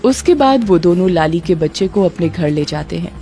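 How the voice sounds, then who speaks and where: A woman's voice: fairly low pitch, lively intonation, normal speed, mellow, faint music behind. A woman narrating a scripted story as a storyteller.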